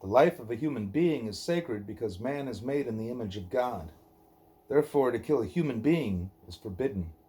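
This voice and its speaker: Man reading slowly in a dark, mellow voice. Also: medium pitch, expressive. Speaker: reader of a devotional book; parent reading aloud at a child's bedtime. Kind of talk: scripted narration, measured reading of a dialogue.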